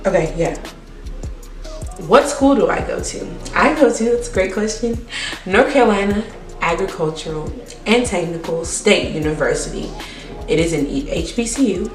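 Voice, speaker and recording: A woman's voice: on the low side, far from the mic. A teenage woman chats in a rambling, easygoing way, often repeating herself. She sits some way off from the camera mic in a roomy space, and faint music plays behind her. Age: teens. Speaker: vlogger. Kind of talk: casual Q&A vlog.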